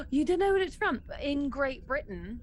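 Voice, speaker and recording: A woman's voice: sits high, big pitch swings, unhurried, slight background noise. A woman chatting casually and informally as a player in a roleplaying game. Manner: informal, reactive. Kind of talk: casual chat.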